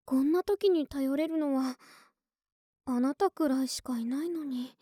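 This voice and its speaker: Young neighbor woman, played by an actress, ASMR audio drama: cutesy, intimate, coaxing, unhurried delivery in a dry recording. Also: high voice, warm tone, no room sound. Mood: worried. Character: friendly, clingy young neighbor woman, older-sister type. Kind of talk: Japanese ASMR situation voice drama acting.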